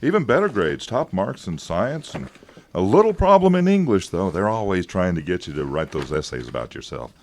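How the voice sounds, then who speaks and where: An actor in a radio drama playing an evasive, persuasive government agent, smooth-talking and patronizing, with hesitations. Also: male voice, deep voice, very animated, brisk, a little hiss or hum. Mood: sly, confiding.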